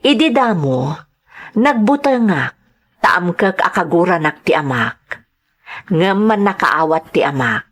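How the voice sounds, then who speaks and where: A woman's voice: lowish voice, big pitch swings, slow pace, pauses often, full character voice. An actress playing a devoted, sentimental young wife and mother in an audio drama, speaking earnestly and emotively. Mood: wistful, reflective. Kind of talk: Ilocano audio drama, narration and dialogue.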